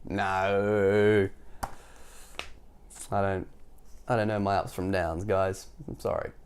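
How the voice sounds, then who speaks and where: A man's voice: deep voice, flat delivery, slow, slight background noise. Storytime host, man with a cold, sniffly, chatty asides between pages. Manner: chatty, laughs and goes off on tangents. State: head cold, sore throat, sniffly. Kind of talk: reading a children's picture book aloud.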